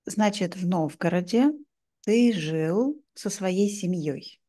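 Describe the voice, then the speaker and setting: A woman's voice: fairly low pitch, takes it slowly, dark tone. A woman in her thirties hosting a simple conversational interview for language learners, recorded at home on a desk microphone. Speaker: host, interviewer. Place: indoor room at home, video call.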